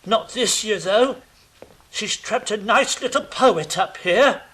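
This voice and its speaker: A man's voice: high voice, takes it slowly, pushed and bright, full character voice, low-quality recording. A man playing a weary middle-aged king who talks in a dry, sarcastic, put-upon way.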